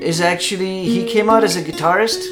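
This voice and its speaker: A man's voice: sits high, fairly quick, music in the background. A man from Pakistan hosting a podcast, asking questions and praising musicians with enthusiasm. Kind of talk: casual podcast chat.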